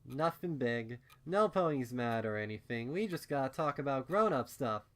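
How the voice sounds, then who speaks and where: A man voicing a warm, doting pegasus mother in a storybook, cooing and soothing as to a small child. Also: mid-range voice, lively intonation, normal speed, voice pushed up, lightly acted. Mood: gentle. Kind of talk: storybook character voice.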